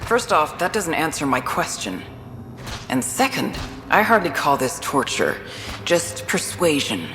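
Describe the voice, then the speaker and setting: A woman's voice: low-pitched, sing-song, measured pace, fairly bright tone, roomy sound, long reverb tail, soft background music, heavily acted. An actress playing a blunt, sardonic female gang enforcer in a video game. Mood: irritated, defiant. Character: hard-edged female gang enforcer running a prison.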